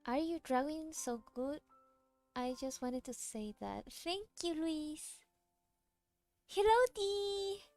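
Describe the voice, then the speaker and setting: Slow-talking woman streamer, high voice. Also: darkish tone, no echo. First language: Cantonese. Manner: rambling, answers chat questions while working. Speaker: streamer drawing a webcomic. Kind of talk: casual livestream chat. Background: faint music.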